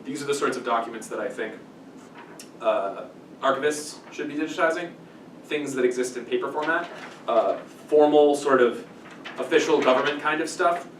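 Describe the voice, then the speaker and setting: Echoey, distant man presenting informally. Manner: casual, self-interrupting, hedging with kind of and sort of. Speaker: workshop presenter. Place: small meeting room, indoors. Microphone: distant camera mic. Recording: echoey room, distant mic, rumbling noise.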